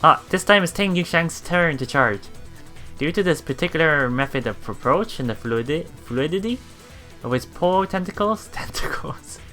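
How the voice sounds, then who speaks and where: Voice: medium pitch, animated, normal speed, pushed and bright, soft background music. A let's play streamer reading game text aloud with casual reactions, often stumbling over words and repeating them.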